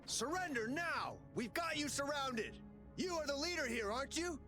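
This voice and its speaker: A man's voice: very high-pitched, lively intonation, moderate pace, faint music behind. An actor playing a bold, demanding male enemy in a video game. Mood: confrontational. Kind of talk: scripted video game voice acting.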